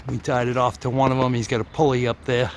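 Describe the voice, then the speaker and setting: A man with a mid-pitched voice narrates casually as he works outdoors. His commentary is plain and off the cuff, like a home vlog. It is picked up on a handheld camera with faint ambient noise around him. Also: little pitch movement, fast. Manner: chuckles at his own remarks. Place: outdoors at the foot of an antenna tower.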